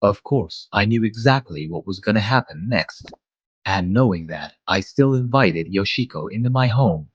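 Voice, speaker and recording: A man's voice: lowish voice, animated, moderate pace, husky, no echo, dull audio. A man playing a devoted, conflicted guardian who narrates his own story in a manga dub. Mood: hesitant, introspective.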